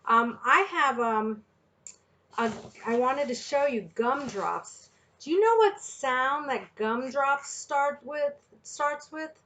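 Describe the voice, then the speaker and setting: A woman reading a picture book and teaching young children, speaking deliberately and putting questions to her listeners. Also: high-pitched, animated, fairly bright tone. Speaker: children's story reader and teacher.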